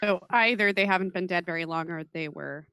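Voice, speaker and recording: A woman's voice: on the low side, brisk, sharp tone, smooth, no echo, studio quality. A woman playing a tabletop role-playing game, talking casually and improvising in her own voice. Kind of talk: casual talk, partly improvised in character.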